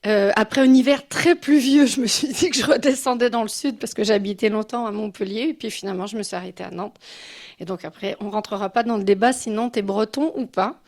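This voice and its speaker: A woman's voice: medium pitch, brisk, darkish tone. A mature woman from Quebec gives an informal conference talk as a guest speaker, in a personal and reflective way. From Quebec City, Canada. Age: 50s or older. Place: live talk before an audience.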